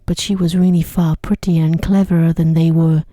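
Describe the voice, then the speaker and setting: A woman reading a bedtime story in ASMR style, soft and hushed, unhurried, often slipping toward a breathy whisper, close to the microphone. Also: low voice, level tone, no echo. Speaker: storyteller, narrator.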